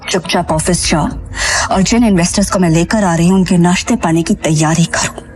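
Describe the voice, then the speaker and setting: A woman's voice: on the low side, a little fast, a little mellow, soft background music, close to an everyday voice. An actress playing a haughty young rich businesswoman in a Hindi audio drama, cutting and contemptuous, mixing in English. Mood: angry scorn.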